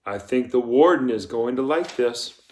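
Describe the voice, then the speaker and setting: A man's voice: medium pitch, lively intonation, normal speed, a little mellow, natural voice. A man voicing a male camp counselor in an audiobook, a smug but deferential underling with a placating manner. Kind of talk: audiobook character voice.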